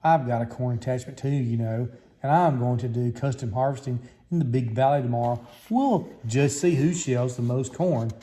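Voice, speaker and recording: A man's voice: mid-range voice, normal speed, mellow, lightly acted. A man voicing a boastful, competitive combine harvester in a children's storybook, bragging as he talks.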